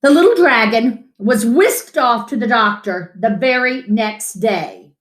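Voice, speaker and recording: A middle-aged woman reading a picture book to children expressively, with dramatic, deliberate pauses. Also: on the high side, expressive. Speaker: children's storyteller. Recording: roomy home echo.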